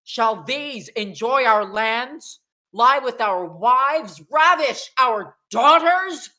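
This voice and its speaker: A woman's voice: fairly high pitch, expressive, extremely slow, pushed and bright, heavily acted. A young woman playing a murderous, guilt-haunted king in a Shakespearean radio play, with theatrical, declamatory delivery. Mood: defiant, rousing. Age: young adult. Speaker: acting student.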